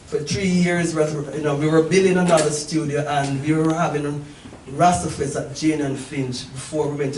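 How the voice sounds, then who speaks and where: A middle-aged woman, telling a story informally and emphatically to a gathering as its host, repeating phrases and checking in with listeners. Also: sits low. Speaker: event organizer and host. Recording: echoey, distant mic, noisy live gathering.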